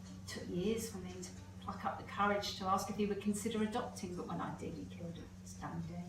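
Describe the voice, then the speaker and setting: A noisy, echoing home recording of a stage play. An actress plays a middle-aged mother, wistful and anxious, who confides her memories haltingly in a medium-pitched voice. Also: fairly even intonation, far from the mic, natural voice. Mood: resigned, confiding. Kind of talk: amateur stage-play acting, naturalistic dialogue.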